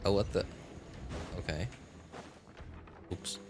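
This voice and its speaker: A man's voice: low voice, takes it slowly, very noisy. A man casually chatting with viewers while he plays on a livestream, rambling and rephrasing himself as he goes. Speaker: game livestreamer. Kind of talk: casual chat with live stream viewers while gaming.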